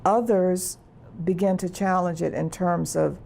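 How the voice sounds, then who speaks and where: A woman giving explanatory interview commentary as an expert, telling history like a story in a mellow, creaky voice. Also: lowish voice, fairly even intonation, unhurried, a little hiss or hum.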